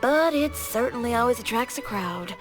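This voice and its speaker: An actress voicing a poised, proud fashion designer in a video game, speaking in a cool, measured, slightly dry way. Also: female voice, on the high side, expressive, close to an everyday voice. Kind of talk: game dialogue. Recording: game voice line over music. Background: game soundtrack music.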